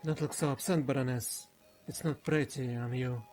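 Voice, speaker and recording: A man's voice: mid-range voice, fairly even intonation, moderate pace, darkish tone, soft background music, lightly acted. A man playing a sly, suave aristocratic swindler in a theatrical period game fandub. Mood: teasing. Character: smooth, sly aristocratic male swindler, a Comte.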